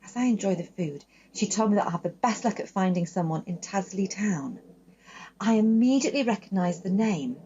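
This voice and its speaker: A woman author reading a children's story aloud with expressive, varied delivery. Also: mid-range voice, slight background noise. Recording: dull lo-fi sound.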